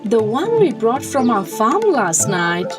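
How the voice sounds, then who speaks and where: A woman voicing a naive, questioning village wife in an animated storybook tale, earnest and emphatic. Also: a little high, slow, heavily acted. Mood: insistent. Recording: music clearly behind the voice.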